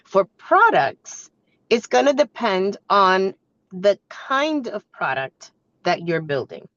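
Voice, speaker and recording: A woman with a slightly hoarse voice from a cold, presenting like a business coach in a conversational, instructive way. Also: medium pitch, very animated, slow pace, fairly bright tone. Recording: echoey room, dull muffled sound.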